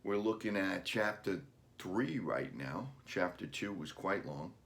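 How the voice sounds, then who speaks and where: A man reads for children in a fairly low voice at a very slow pace. His manner is deliberate, that of an author presenting his own storybook. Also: creaky, fairly bright tone. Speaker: author reading own book.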